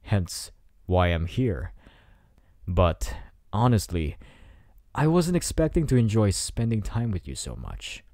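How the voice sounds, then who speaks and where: A man acts out a teenage bad boy in an intimate ASMR roleplay. His voice is low and swings widely in pitch as he taunts and teases with smug confidence. The sound is close and dry. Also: sing-song, warm tone, dry recording, exaggerated voice. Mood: smug, teasing. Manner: taunting, smug, drawn-out teasing delivery. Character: cocky teasing teenage delinquent bad boy student.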